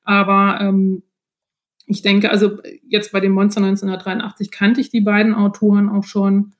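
A woman's voice: mid-range voice, monotone, moderate pace. A woman speaking as a guest in a casual interview, explaining her experiences hesitantly and often laughing as she goes. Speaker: podcast guest, author.

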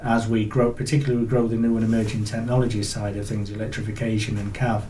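A man with a Yorkshire accent, an industry association chief executive, answering interview questions in a measured, steady way with frequent ums. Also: lowish voice. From Yorkshire, England. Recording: roomy, distant mic with faint noise.

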